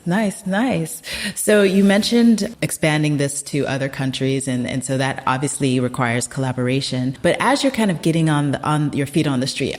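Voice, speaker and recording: A woman hosting a podcast, interviewing her guest in an eager, affirming way. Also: extremely low pitch. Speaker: podcast host. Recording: long reverb.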